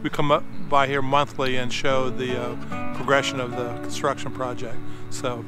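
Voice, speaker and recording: An older man talks into a handheld action camera outside at a construction site. His voice sits at an ordinary middle pitch, and he explains things in a relaxed way, pausing often with "uh". Music plays softly behind him. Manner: relaxed, explanatory, with frequent "uh" fillers. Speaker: photographer and channel host. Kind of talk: casual on-site vlog narration. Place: outdoors at a warehouse construction site. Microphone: action camera held at arm's length.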